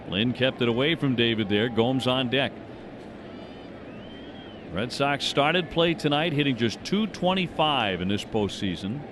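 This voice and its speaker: A man doing live play-by-play commentary of a baseball game as a radio announcer. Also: mid-range voice. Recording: noisy live ballpark radio broadcast. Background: stadium ambience and noise.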